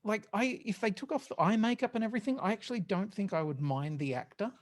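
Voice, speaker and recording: Wry, halting podcast host with a mid-range voice. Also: mid-range voice. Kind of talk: casual podcast panel review.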